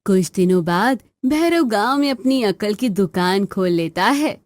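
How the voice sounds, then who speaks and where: A woman's voice: medium pitch, lively intonation, a little slow, a little mellow. A woman narrating a scripted children's story.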